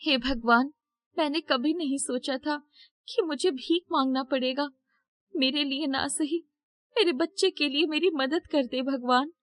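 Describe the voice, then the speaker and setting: A woman acts out a poor young mother from a cartoon moral tale. Her voice sits very high, shifted up well above an everyday register. She speaks earnestly and emotionally, with a devoted, pleading edge. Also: unhurried. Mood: despairing prayer. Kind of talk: Hindi moral story cartoon dialogue.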